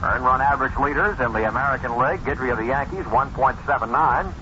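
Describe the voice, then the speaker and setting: Dull 1970s radio sound, clear background noise, man, clipped sports statistics rundown, list-like delivery. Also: mid-range voice, fast. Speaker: baseball broadcaster.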